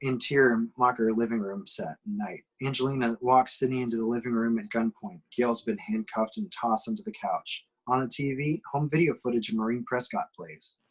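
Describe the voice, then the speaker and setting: A man's voice: mid-range voice, moderate pace, few pauses, husky, rough recording. A man giving emphatic, suspenseful scripted narration as he reads action descriptions at an amateur table read.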